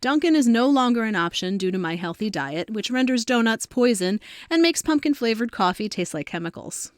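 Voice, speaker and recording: A woman's voice: a little high, animated, brisk, dry recording, studio quality. A woman of about forty reading her own scripted personal essay as a narrator. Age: around 40.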